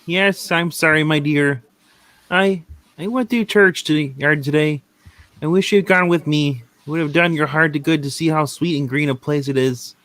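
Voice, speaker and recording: Guy doing a sad gentle dad in a radio play, kinda high voice, tender and earnest. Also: dry recording, lightly acted.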